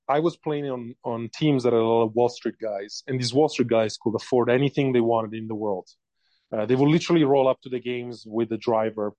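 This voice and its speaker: Italian man, dark muffled voice. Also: mid-range voice, dry recording. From Italy. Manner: hesitant, many fillers and restarts. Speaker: guest, company founder. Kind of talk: casual interview talk.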